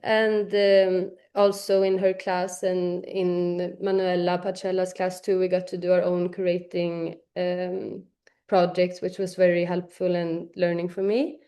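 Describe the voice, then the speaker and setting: A woman student talking about her professors at a university event, with many pauses and fillers. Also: fairly low pitch, flat delivery, mellow. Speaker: student speaker.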